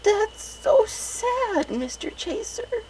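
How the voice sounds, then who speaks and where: A tiny unicorn filly with a very high, squeaky voice. The character is tender and excitable, gushing in big swoops as in a storybook. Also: male voice, extremely slow, a little hiss or hum, exaggerated voice, poor audio. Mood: gushing, tearful.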